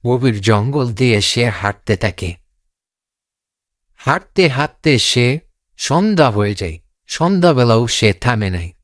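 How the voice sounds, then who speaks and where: Casting: male fairy-tale narrator, unhurried, animated intonation, simple repetitive phrasing, very dry sound. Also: mid-range voice, no echo. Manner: repeats phrases, simple unpolished storytelling. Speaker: storyteller.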